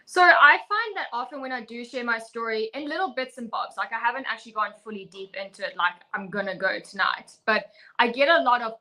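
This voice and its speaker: A woman's voice: on the high side, a little fast, pushed and bright, poor audio. A young woman from Zimbabwe, a fitness coach, speaking earnestly and firmly as she shares her personal story in an interview. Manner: earnest, firm, open.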